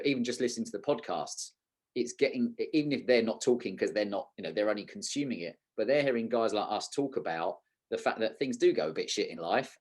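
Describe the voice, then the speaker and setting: A man with a slightly low voice hosts a casual podcast. He speaks informally and rambles conversationally from one thought to the next. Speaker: podcast host.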